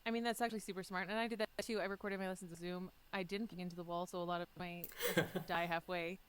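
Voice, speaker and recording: A woman's voice: lowish voice, steady tone, slight background noise. A woman from Alberta, Canada, hosting a podcast interview in an enthusiastic, easygoing way. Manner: enthusiastic, quick, laughs easily. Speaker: podcast host. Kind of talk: casual interview chat.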